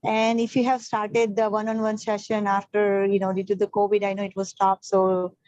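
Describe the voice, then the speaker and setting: A woman's voice: medium pitch, monotone, normal speed, darkish tone, dry recording, muffled recording. An Indian woman asking a spontaneous, hesitant question as an audience member.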